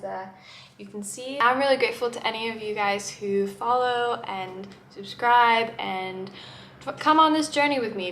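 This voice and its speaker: A woman's voice: mid-range voice, fairly bright tone, slight background noise. A woman in her twenties with a mixed American accent, chatting upbeat to camera in a casual vlog intro at home. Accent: mixed American with shifting traces. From grew up in the UK and the Middle East. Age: 20s. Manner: chatty and upbeat, strings of rhetorical questions. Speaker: vlogger. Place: home room with plants. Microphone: camera in front of her.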